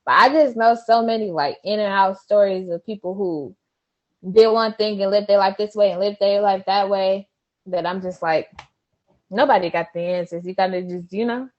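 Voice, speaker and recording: A woman in her twenties, a guest in a casual chat, laid-back and thinking out loud with frequent laughs. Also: medium pitch, fairly even intonation, moderate pace, lo-fi sound. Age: 20s.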